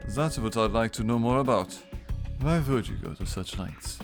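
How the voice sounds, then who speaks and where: A man playing a calm, serious Victorian investigator in a radio drama, measured and matter-of-fact. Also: mid-range voice, natural voice. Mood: probing, stern. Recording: music behind the voice.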